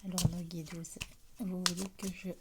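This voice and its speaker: A woman with a hoarse voice from a cold gives a slow, calm card reading, often half-whispering. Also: on the low side. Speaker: tarot card reader. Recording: loud background noise.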